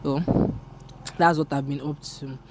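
A woman's voice: low-pitched, steady tone, a little slow, noisy background. A young woman from Nigeria, whose first language is Yoruba, speaks with a Nigerian English accent. She hosts her own podcast in a rambling, candid, self-deprecating chat. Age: young adult. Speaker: podcast host. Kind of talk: casual solo podcast chat.

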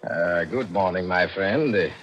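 An actor playing a sly, mercenary pawnbroker with hesitant, formally polite phrasing in a 1940s radio drama. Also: male voice, low voice, measured pace, music in the background. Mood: sly, unhurried. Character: sly male pawnbroker, illicit gun seller, coolly mercenary. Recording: muffled vintage radio recording.

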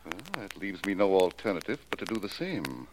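A scheming male stage mystic in an old radio thriller. The voice is very low yet moves about theatrically, with formal, insinuating phrasing. Noticeable noise runs through the vintage recording. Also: sing-song, fairly quick. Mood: calculating. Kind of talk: 1940s radio mystery acting.

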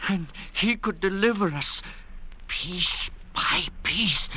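A man in a 1940s radio drama playing an anxious, bitter French forger, with a slow, insinuating, theatrical delivery on an old radio recording. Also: high-pitched, bright, forceful tone, full character voice. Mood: suspicious, bitter. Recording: dull, noisy vintage radio recording.

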